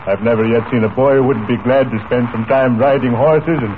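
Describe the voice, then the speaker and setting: Proud old-West rancher, played by a male actor: emphatic, reassuring, vintage radio acting, thin band-limited sound with clear hiss. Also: medium pitch, fairly quick, natural voice. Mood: hearty, reassuring. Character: Western rancher, proud, hearty, fond of old ways. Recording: scratchy, tinny 1940s radio recording.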